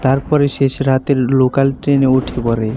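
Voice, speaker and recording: A man's voice: medium pitch, level tone, moderate pace, noisy background. A man narrating a scripted horror story for a cartoon.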